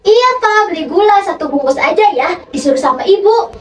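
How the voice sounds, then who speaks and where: A woman's voice: extremely high pitch, lively intonation, fairly quick, darkish tone, recorded from a distance, pitched above the performer's own voice. A woman voicing a vulnerable young schoolgirl in a dramatic storytelling performance, with an emotional, earnest delivery. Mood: polite, cheerful. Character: frightened schoolgirl, polite, then tearful and defiant. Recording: echoey room from afar, background music.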